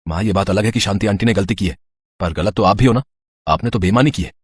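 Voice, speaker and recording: A man voicing an easygoing grown son who gently reproaches, in a cartoon moral story. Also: fairly low pitch, fast, husky, no echo, clean studio sound. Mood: reproachful.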